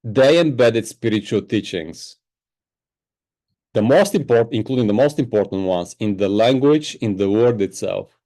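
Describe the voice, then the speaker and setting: Bulgarian-born man, warm, mellow voice. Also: on the low side, lively intonation, measured pace. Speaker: guest, spiritual teacher. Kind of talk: podcast interview.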